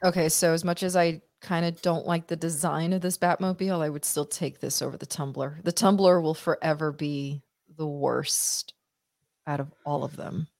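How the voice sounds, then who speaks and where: A woman with a somewhat low voice chats casually about a movie. She is opinionated and expressive, putting her views bluntly as a podcast co-host. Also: normal speed, dry recording. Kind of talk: casual movie watch-party chat.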